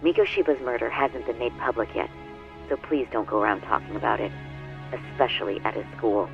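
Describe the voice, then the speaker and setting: A man's voice: medium pitch, a little fast, vocal fry, dull audio, natural voice. An actor as an earnest male lawyer, music behind. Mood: cautioning. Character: earnest male lawyer briefing a detective colleague. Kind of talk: video game cutscene dialogue.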